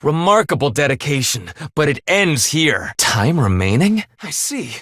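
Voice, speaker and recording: An actor playing an earnest, remorseful, devoted husband in a dramatic video game, with formal, measured, solemn phrasing. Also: male voice, a little high, sing-song, a bit bright, dry recording, studio quality, exaggerated voice. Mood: resolute.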